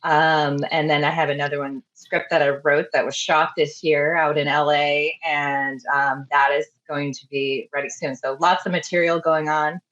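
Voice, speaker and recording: A woman's voice: low-pitched, level tone, moderate pace, hardly any pauses, a bit bright. A woman director and screenwriter speaking politely on a panel. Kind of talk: casual panel talk. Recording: dull lo-fi audio.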